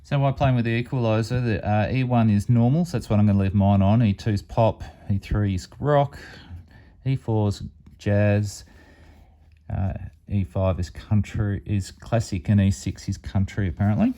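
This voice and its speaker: A man's voice: lowish voice, fairly even intonation, moderate pace, mellow. A man giving a casual, plain-spoken hands-on product demonstration as a hobbyist reviewer. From Australia.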